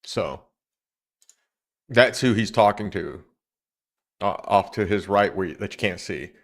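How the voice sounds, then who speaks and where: A man's voice: on the low side, measured pace. A man streaming live, chatting casually and rambling a little as he talks straight to his viewers.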